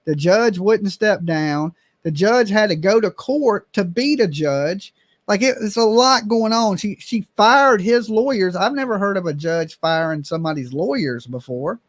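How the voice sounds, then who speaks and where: A man's voice: high voice, lively intonation, measured pace, no room sound. A man hosting a casual chat, blunt and opinionated, swearing now and then.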